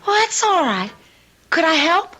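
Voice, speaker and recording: An actress playing a defiant, streetwise but soft-hearted teenage girl in old-time radio drama, brash and slangy. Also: extremely high pitch, sing-song, a little slow, full character voice. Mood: eager, friendly.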